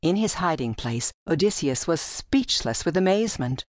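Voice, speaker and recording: A woman narrating a literary audiobook with measured, deliberate phrasing, recorded in a studio. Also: deep voice, sing-song, dry recording. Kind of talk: scripted literary storytelling narration.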